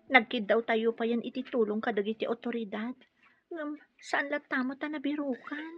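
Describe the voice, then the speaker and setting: A plaintive, gossipy friend with a high, husky voice. She sounds concerned, in radio drama style on a dull recording with faint music. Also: steady tone, normal speed, no echo. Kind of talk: Ilocano radio drama acting.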